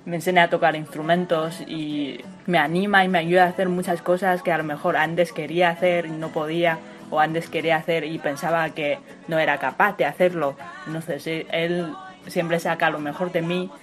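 A woman's voice: sits low, level tone, normal speed, faint music behind. A woman in her twenties from China, a sports journalist, speaking as a non-native in a thoughtful interview with touches of humor. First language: Chinese. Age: 20s. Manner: thoughtful, searching for words, light humor. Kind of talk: personal interview.

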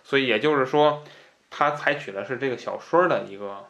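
A male podcast host with a mid-pitched voice talks casually about books. His manner is colloquial and informal, with a readiness to chuckle at his own asides. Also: brisk, gravelly, fairly bright tone, dull audio. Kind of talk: casual book talk show chat.

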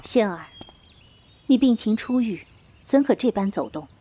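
Dark, composed female martial arts master, acted by a woman. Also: a little high, animated, unhurried, husky. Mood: mildly stern. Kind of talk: Chinese period audio drama acting.

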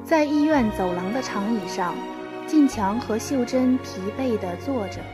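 A woman's voice: fairly high pitch, expressive, normal speed. A young woman reading scripted storytelling narration for a student radio drama. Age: young adult. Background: music.

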